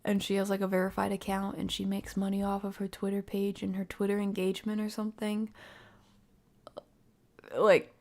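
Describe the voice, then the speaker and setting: Creaky-voiced woman thinking aloud on stream. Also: mid-range voice, normal speed. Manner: animated, slangy, analytical, thinking aloud. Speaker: livestream host reacting to a video. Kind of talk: casual reaction commentary to live viewers.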